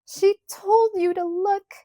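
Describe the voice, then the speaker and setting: A woman's voice: very high-pitched, steady tone, slow, mellow, no echo, clean studio sound, voice pushed up. A woman voicing a scared young girl in an audiobook, upset and urgent, with a screaming, pleading delivery. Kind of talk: audiobook character voice.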